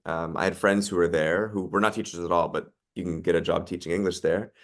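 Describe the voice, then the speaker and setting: A man in his thirties, a podcast guest, talking in a relaxed way with lots of ums and likes. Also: sits low, brisk. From Canada. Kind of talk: casual interview chat.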